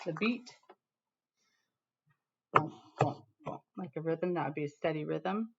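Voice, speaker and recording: An American woman teacher reading a storybook expressively to students, pausing to explain words. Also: low-pitched, vocal fry, background noise. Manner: pauses to explain words to children. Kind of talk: expressive read-aloud with explanatory asides. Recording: dull, lo-fi sound.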